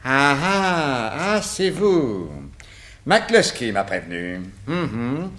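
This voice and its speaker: An actor playing a pompous, officious, touchy police inspector in a French radio detective drama. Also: male voice, on the high side, very animated, extremely slow, fairly bright tone, exaggerated voice. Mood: haughty, curt. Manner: pompous, self-important.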